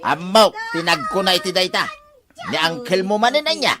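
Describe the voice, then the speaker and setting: A woman's voice: lowish voice, very animated, moderate pace, noisy background. A woman playing an exasperated village official in an Ilocano comedy drama, firm and argumentative, with an Ilocano accent.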